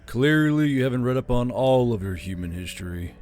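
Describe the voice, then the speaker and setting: A man's voice: medium pitch, lively intonation, a little fast, darkish tone, a little hiss or hum, lightly acted. A man playing a grumbling, sardonic male commander who is squeamish about spiders.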